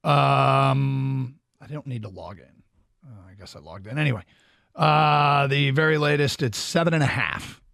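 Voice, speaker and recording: A man co-hosting a sports radio show, chatting casually and rattling off injury reports briskly. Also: mid-range voice, vocal fry, fairly bright tone, no echo, clean studio sound. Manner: brisk, reads lists quickly. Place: radio studio.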